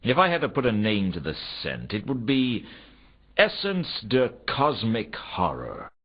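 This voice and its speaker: Low, brooding noir werewolf detective. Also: male voice, measured pace, husky, heavily acted. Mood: uneasy, brooding. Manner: deadpan, brooding, world-weary. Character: weary male werewolf private detective, hardboiled and wry. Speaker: narrator and protagonist of a detective adventure game. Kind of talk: noir detective inner monologue. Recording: dull, compressed 1990s game audio.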